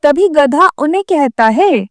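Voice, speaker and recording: A woman's voice: high-pitched, animated, unhurried, no echo, clean studio sound. A woman narrating a scripted children's cartoon story.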